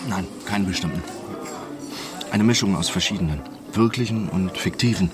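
A man's voice: low-pitched, moderate pace, natural voice. A man playing an obsessive, wealthy eccentric who narrates his re-enactment schemes in a radio drama, terse and insistent. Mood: absorbed. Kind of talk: radio drama acting and first-person narration. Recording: loud music over the voice.

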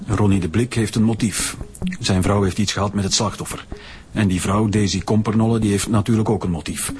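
An actor playing a methodical, authoritative police director in a radio drama, speaking. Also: male voice, lowish voice, fairly even intonation, a little fast, a little mellow, slight background noise, lightly acted.

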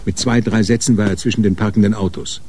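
A man narrating a radio crime drama from a script in a measured, sober way. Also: lowish voice, fairly even intonation, noisy background.

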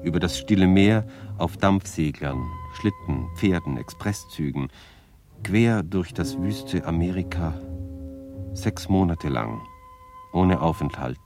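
Solemn radio-play poet, bass register, unhurried declamation, measured short phrases, guitar behind. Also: male voice, lively intonation, exaggerated voice. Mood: solemn narrating. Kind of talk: dramatic verse recitation.

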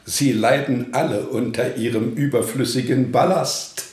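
An actor in a German radio play voicing a brusque, ascetic gentleman who speaks tersely in formal, old-fashioned diction. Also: medium pitch, unhurried, close to an everyday voice. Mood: dismissive. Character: curt ascetic gentleman who gave away his collections. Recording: echoey room, music underneath.

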